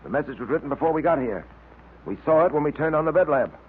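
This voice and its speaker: A male actor as a cool-headed detective hero in a 1940s radio drama. He talks briskly and with confident authority. The sound is tinny and narrow, with faint noise behind. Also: on the high side, animated, lightly acted. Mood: confident, explaining. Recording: tinny, faintly noisy vintage radio recording.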